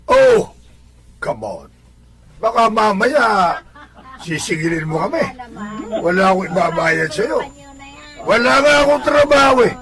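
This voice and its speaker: A man's voice: high voice, big pitch swings, measured pace, creaky, pauses often, a bit bright, heavily acted, rough recording. A man playing a skeptical, jobless neighborhood drunkard in a religious radio drama, with a scoffing, dismissive manner.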